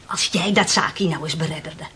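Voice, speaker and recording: A woman's voice: deep voice, moderate pace, sharp tone, breathy edge, full character voice. An actress playing a brash, plain-spoken, bantering working-class woman in a Dutch radio play. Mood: coaxing, exasperated.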